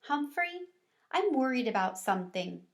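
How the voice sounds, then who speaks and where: A woman voicing a timid, gentle female guinea pig from a children's storybook, soft-spoken and questioning. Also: sits high, very animated, a little slow, heavily acted. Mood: worried.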